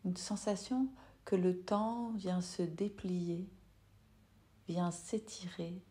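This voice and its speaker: A woman yoga nidra teacher leading a guided meditation in a soft, soothing, unhurried way with long pauses. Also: fairly low pitch, fairly even intonation.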